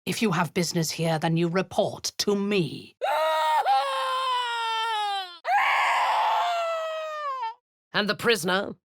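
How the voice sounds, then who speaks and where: A woman playing a ruthless, cruel and seductive female dark elf war commander in a video game, with a breathy, husky voice and a commanding, menacing, deliberate manner. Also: lowish voice, no room sound, clean studio sound, natural voice. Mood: cold command.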